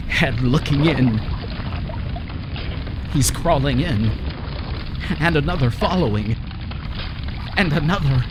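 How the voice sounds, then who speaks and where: An actor playing a terrified, guilt-ridden man sliding into madness in an old-time radio horror drama, his monologue anguished, halting and melodramatic. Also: mid-range voice, vocal fry. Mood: mounting panic. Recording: heavy noise under the voice.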